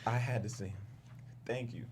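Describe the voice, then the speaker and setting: A man's voice: mid-range voice, normal speed, noisy background, natural voice. A man playing a loyal, streetwise male insect in a comedy table read, slangy, sweary and heartfelt. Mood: grieving, tender. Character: emotional, loyal, streetwise male insect, grieving his friend.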